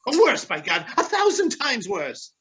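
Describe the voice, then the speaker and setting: A man's voice: very high-pitched, wildly swooping pitch, unhurried, fairly bright tone, breathy edge, exaggerated voice. An actor playing a cantankerous, frightened old man in a radio drama, blustering and scolding as he cries out. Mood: grumpy, scornful. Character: cantankerous old man trapped in a flooding mine, terrified of dying. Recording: very noisy track with clinks and clatter.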